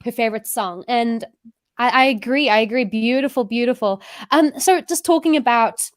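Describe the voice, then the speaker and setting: A woman's voice: fairly high pitch, normal speed, no room sound, studio quality. A woman host with an English accent, chatting casually with a guest in an online interview and laughing at herself.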